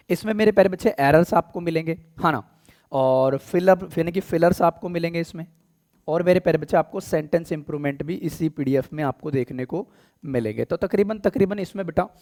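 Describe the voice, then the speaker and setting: A male teacher with an Indian accent and a hoarse, worn voice that sits a little high. He speaks softly and deliberately, stops to clear his throat, and addresses his students with fond, familiar words. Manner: affectionate, calls students "beta", clears throat often; deliberately soft, slow speech. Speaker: online exam-prep English teacher. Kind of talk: live online class, Hindi-English mix.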